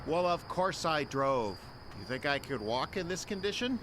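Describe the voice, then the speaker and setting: An actor playing an unstable, clingy, self-pitying ex-boyfriend in an audio drama, halting and stammering as he wheedles. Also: fairly high pitch, sing-song, background noise. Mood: drunk, pleading.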